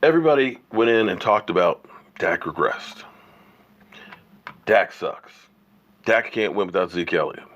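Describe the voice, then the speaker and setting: A man's voice: on the low side, expressive, measured pace, pauses often, a bit bright, highs cut off. A man hosting a sports opinion livestream while he has a cold, arguing emphatically and firing off rhetorical questions. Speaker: sports show host.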